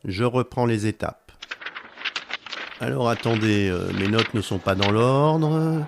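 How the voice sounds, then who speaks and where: A man's voice: lowish voice, unhurried, vocal fry, noisy background. A man playing an earnest, slightly disorganised mediation facilitator in an audio drama, formal and procedural. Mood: earnest, flustered. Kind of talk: French audio drama dialogue.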